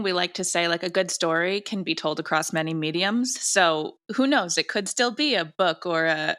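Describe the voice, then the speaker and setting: A woman with a fairly low voice and a bright, forward tone, speaking as a creator being interviewed. She talks conversationally, with emphatic, gushing enthusiasm about her work. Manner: gushing, emphatic, animated wording. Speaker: audio drama creator and director, interview guest.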